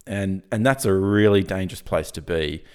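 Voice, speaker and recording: An Australian man with a croaky early-morning voice, chatting informally and jokily as a podcast guest pitching his business. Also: normal speed, a little mellow. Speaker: podcast guest, software company founder. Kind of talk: casual conversational pitch.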